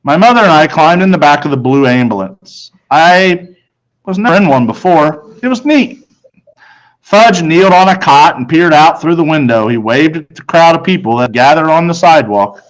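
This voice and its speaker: A man's voice: a little high, expressive, normal speed, low-quality recording. A man narrating a children's novel aloud in the first person, as a storybook narrator.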